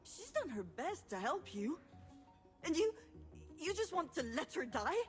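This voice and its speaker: A woman's voice: extremely high pitch, sing-song, measured pace, soft background music, full character voice. An actress playing a headstrong, combative and loyal adult woman, speaking bluntly and insistently in a naturalistic video game drama. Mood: angry, accusing.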